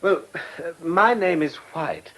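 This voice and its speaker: An earnest English village campaigner in a radio drama. He is courteous and well-spoken, with indignation under the politeness. The voice is slightly rough and moves in lively swings. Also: fairly high pitch, a little slow. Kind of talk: British radio drama acting.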